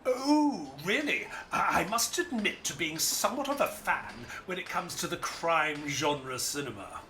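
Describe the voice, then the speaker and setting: An actor playing a polite, earnest detective's companion who narrates an audio drama in a formal, theatrical storytelling manner. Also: male voice, fairly high pitch, lively intonation, unhurried, pushed and bright, slight background noise. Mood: polite, flustered.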